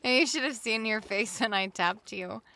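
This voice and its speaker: An actress playing a playful, daring young woman in an audio drama, with a teasing, excitable way of talking. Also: on the high side, moderate pace, creaky.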